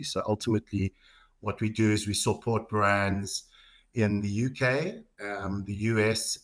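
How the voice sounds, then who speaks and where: A middle-aged man with a South African accent, answering questions in a conversational way as a podcast guest. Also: on the low side. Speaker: podcast guest, marketing executive.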